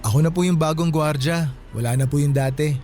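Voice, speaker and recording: Man acting polite, firm security guard, highish voice. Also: fairly quick, a little mellow, natural voice. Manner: courteous, apologetic, rule-bound. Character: middle-aged male bank guard, polite but firm. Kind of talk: radio drama acting.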